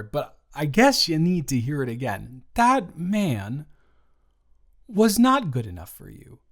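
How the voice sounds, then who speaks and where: A man in an improvised audio roleplay, playing a young male best friend who talks straight to the listener in a gentle, reassuring way and is blunt but kind. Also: fairly high pitch, heavily acted.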